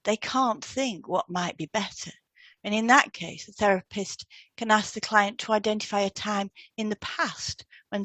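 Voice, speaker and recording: A woman's voice: mid-range voice, a bit bright, no echo. A woman co-hosting a podcast, reading scripted instructional narration. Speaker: podcast co-host, hypnotherapist.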